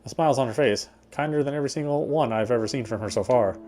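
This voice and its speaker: A man's voice: medium pitch, quick pace, a little mellow. A man reading a visual novel's narration aloud expressively, sometimes stumbling and going back over a phrase. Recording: game music behind the voice.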